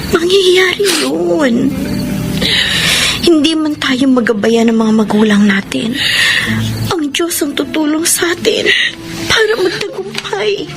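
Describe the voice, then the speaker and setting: A woman's voice: high voice, expressive, slow pace, pauses often, heavily acted. A woman playing a dutiful, hopeful young working elder sister in a radio drama, with a Filipino accent. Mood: gently resolute. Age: young adult. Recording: music bed behind the voice.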